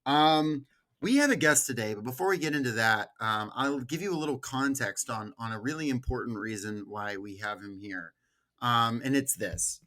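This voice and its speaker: A man's voice: mid-range voice, moderate pace, pushed and bright. A man hosting a podcast in a casual, slangy, joking way. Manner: informal, slangy, jokey. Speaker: podcast host.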